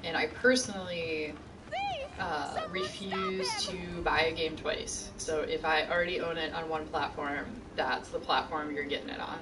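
A woman's voice: low-pitched, steady tone, a little slow, distant mic, background noise. A woman teaching a college class on a stream, chatting casually and answering chat questions from her couch at home. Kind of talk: casual lecture over gameplay. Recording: game music and sound behind her.

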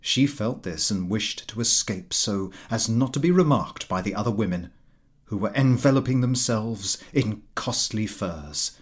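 A man narrating a literary short story in a measured, dramatic manner. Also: lowish voice, expressive, breathy edge. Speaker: audiobook narrator. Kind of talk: expressive scripted story narration.

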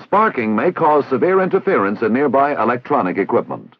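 Male narrator, American, for vintage 1960s instructional film; formal and didactic, husky edge, very dry lo-fi sound. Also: medium pitch, big pitch swings, fairly quick, dry recording. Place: recorded voice-over.

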